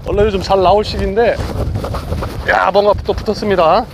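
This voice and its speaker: A man with a high, lively voice talks through his fishing on the open deck of a boat, exclaiming at every bite. Strong wind and waves buffet the camera mic throughout. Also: brisk, creaky. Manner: excited exclamations, chatty play-by-play with laughs. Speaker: fishing vlogger. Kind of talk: casual live commentary while fishing. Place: deck of a boat at sea, outdoors, windy.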